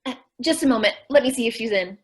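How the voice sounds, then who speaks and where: A woman's voice: on the high side, expressive, fast, husky. A woman acting a sweet, dim-witted, earnest young secretary in an old-time radio comedy. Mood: earnest, naive. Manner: naive, literal-minded, earnest.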